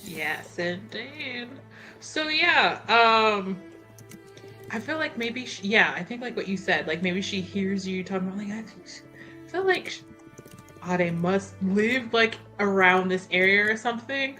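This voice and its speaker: A woman with a bright, forward voice at a middle pitch thinks aloud during a tabletop game. She is informal and animated, taking her time overall, with faint music underneath. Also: measured pace. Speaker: tabletop roleplay player. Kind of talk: casual improvised game chat.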